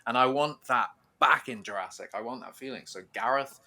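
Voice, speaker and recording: A man chatting casually as a podcast host about films, animated and enthusiastic in a rambling, fan-like way. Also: mid-range voice, fairly quick, slight background noise. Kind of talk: casual film fan discussion.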